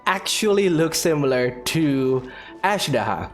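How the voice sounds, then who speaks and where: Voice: medium pitch, expressive, unhurried. A streamer giving casual commentary over a game playthrough, reacting aloud in a playful way.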